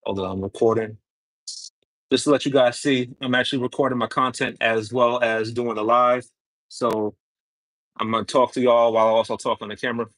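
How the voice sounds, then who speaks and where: Casual New guy, home cook on a live stream, chatty and relaxed, mid-pitched voice, dry close sound. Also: brisk, no room sound, low-quality recording. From New York City, Brooklyn. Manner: easygoing, chatty, answers viewer comments while cooking. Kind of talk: casual livestream cooking chat.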